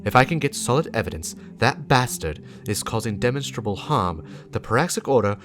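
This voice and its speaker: A man voicing a stern, righteous warlock, speaking gravely and deliberately in a dramatic lore reading, with emphatic warnings against corruption. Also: medium pitch, animated, noisy background, close to an everyday voice. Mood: grave, indignant.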